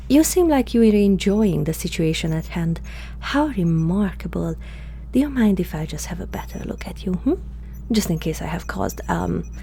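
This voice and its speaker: A woman playing a curious, awkward alien scientist in human form, speaking hesitantly and softly to the listener in an ASMR roleplay. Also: fairly low pitch, gravelly, full character voice. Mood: curious, admiring. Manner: hesitant, halting, softly spoken, sometimes whispering. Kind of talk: ASMR roleplay, one-sided dialogue to the listener. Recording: faint background hum and noise.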